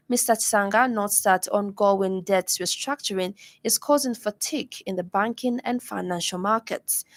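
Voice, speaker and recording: A woman's voice: medium pitch, no echo. A woman with a Zambian accent reads a scripted news bulletin as a radio presenter in a studio.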